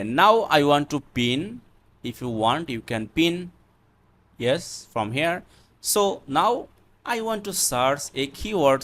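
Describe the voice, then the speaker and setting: This is a man with a Bangladeshi accent leading an unscripted screen tutorial. His pace is slow and his pitch sits a little high for a man. He talks haltingly, often repeating himself as he explains each step. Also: a bit bright. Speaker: tutorial presenter.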